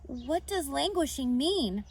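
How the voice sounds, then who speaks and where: A woman's voice: high-pitched, sing-song, slow pace, voice pushed up, exaggerated voice. A woman voicing a naive, tender young male pig in a storybook, eager and full of questions. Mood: worried, hopeful.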